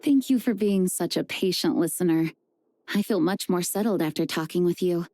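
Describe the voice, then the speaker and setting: An actress playing a composed, dutiful adult woman knight who leads, speaking in a measured, earnest and formal way. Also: lowish voice, mellow, no echo, lightly acted. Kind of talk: video game voice acting. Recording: game music under the voice. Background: game music.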